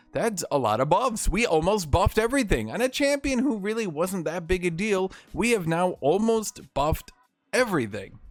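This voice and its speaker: A man with a slightly hoarse voice hosting a gaming video, ranting with sarcastic, salty commentary. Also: on the high side, no echo.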